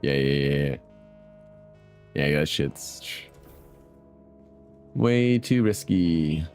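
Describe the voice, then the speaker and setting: A man's voice: extremely low pitch, animated, very slow, darkish tone, faint music behind. A man streaming a video game with casual, profane commentary, muttering asides at the game.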